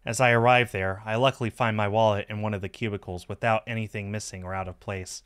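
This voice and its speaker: A man reading a visual novel aloud as expressive first-person narration on a stream. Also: fairly low pitch, fast talker, clean tone.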